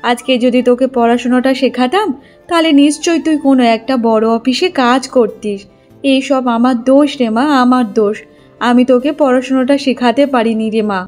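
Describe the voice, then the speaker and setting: Casting: poor middle-aged village mum played by a woman, high voice, fussing, devoted, cartoon moral tale, soft music underneath. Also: fairly even intonation, normal speed, lightly acted. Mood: sorrowful, self-blaming. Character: poor, hardworking, self-sacrificing middle-aged village mother. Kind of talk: Bengali cartoon story dialogue.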